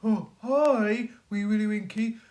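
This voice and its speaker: A woman's voice: medium pitch, unhurried, darkish tone, pitched above the performer's own voice, natural voice. A woman voicing a polite, hopeful male wolf in a children's storybook, with a hesitant, courteous way of asking. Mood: politely pleading.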